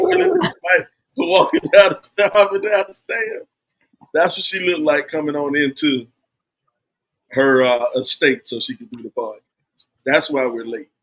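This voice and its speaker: A man's voice: high-pitched, fairly bright tone, poor audio. Playful man hosting a podcast. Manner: playful, teasing, drawn-out storytelling. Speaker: podcast host. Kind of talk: casual joking banter.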